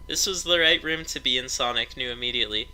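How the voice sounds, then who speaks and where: A man reads a silly story aloud with a very bright, forceful voice at a middle pitch. He plays up the narration as mock drama. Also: fast talker, low-quality recording. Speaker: narrator of a story read-along. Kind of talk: amused reading aloud of amateur fan fiction.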